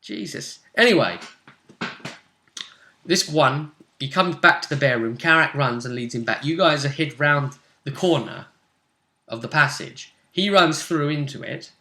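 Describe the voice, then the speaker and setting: A man working as game master, casually recapping a tabletop role-playing session with animated, emphatic storytelling in a roomy space. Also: on the high side, unhurried. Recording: roomy, echoey sound.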